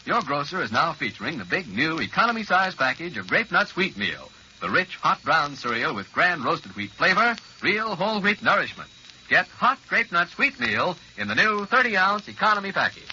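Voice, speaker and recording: A man's voice: a little high, very animated, normal speed, pushed and bright, slightly rough, lo-fi sound. A man reading ad copy as a 1940s radio announcer. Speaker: commercial announcer. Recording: band-limited old radio with faint noise.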